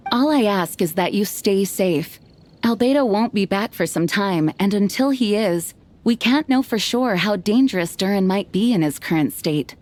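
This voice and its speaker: Lady voicing a steady caring knight boss, calm and reassuring. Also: medium pitch, normal speed, close to an everyday voice. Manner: composed, reassuring. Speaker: game character. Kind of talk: video game voice acting.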